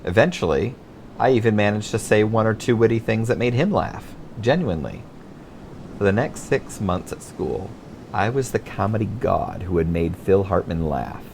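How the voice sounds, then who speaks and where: Low, creaky American man reading his memoir. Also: animated, normal speed, a little mellow, slight background noise. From Michigan, United States. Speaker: author narrating own memoir. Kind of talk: scripted audiobook narration.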